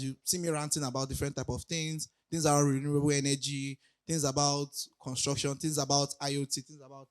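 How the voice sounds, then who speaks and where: Raspy-voiced man giving a talk. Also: a little high. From Nigeria. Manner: hesitant, many ums and restarts, laughs lightly. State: raspy from recent illness. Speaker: conference speaker. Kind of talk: casual conference talk. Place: conference hall.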